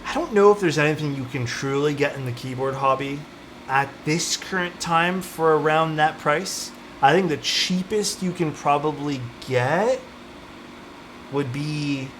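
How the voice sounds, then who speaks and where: A man's voice: on the high side, a bit bright, slight background noise. A young man with a Toronto Canadian accent, chatting casually with his livestream audience while soldering a keyboard. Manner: easygoing, chatty, answers chat while soldering.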